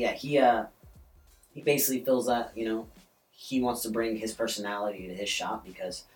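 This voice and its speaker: A man co-hosts a podcast and tells a story loosely, full of ums and restarts. His voice sits on the low side. He sits some way from the mic in a roomy space, with soft music behind. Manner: rambling, with many ums and "basically". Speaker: podcast co-host. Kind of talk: casual storytelling chat. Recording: roomy echo, distant from the mic.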